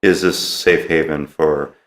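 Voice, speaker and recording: A man's voice: a bass voice, steady tone, measured pace. A man hosting a podcast, interviewing conversationally in a tentative way, rephrasing as he goes.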